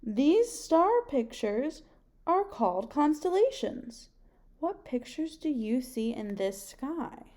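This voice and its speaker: Slow, mellow young woman reading to children. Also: high voice, sing-song. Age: young adult. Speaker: teacher reading a picture book aloud. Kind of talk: children's read-aloud with questions to listeners. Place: home kitchen, indoors.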